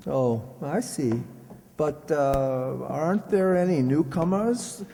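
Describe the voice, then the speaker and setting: A courteous man on the run, played by an actor, hesitant and careful. His voice is mellow and slow, in vintage radio drama style with hall reverb. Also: on the high side, a little hiss or hum. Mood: guarded, hesitant. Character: polite, hesitant man on the run, feigning innocence. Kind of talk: 1940s radio acting.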